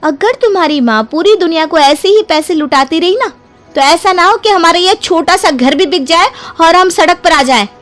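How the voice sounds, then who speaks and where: A woman's voice: extremely high pitch, lively intonation, normal speed, a bit bright, faint music behind, pitched above the performer's own voice. A woman voicing an exasperated young daughter-in-law in a story, with emphatic, dramatic delivery.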